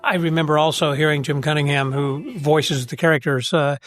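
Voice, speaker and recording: A man's voice: a little high, fairly even intonation, normal speed. A man hosting a podcast interview, asking leading questions in a conversational way and often murmuring agreement. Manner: conversational, asks leading questions, frequent backchannel. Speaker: podcast host. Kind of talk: casual interview chat.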